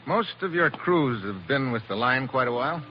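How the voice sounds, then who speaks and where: An American actor playing a tough, casual secret agent in a 1940s radio drama. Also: male voice, mid-range voice, animated, moderate pace, close to an everyday voice. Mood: probing, businesslike. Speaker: lead actor. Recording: muffled, hissy old radio recording.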